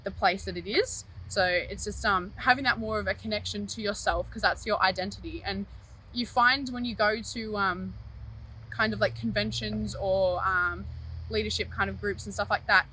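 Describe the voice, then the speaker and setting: A young Australian woman answering interview questions in a measured, patient way. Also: mid-range voice, steady tone, sharp tone, slight background noise, low-quality recording. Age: young adult.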